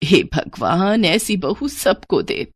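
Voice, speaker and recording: A woman voicing an elderly, ailing mother-in-law in a moral story, grateful and weary, with slow, plaintive speech. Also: fairly low pitch, sing-song, slightly rough, no echo, studio quality, exaggerated voice. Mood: weary, tender. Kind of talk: dramatised Hindi moral story.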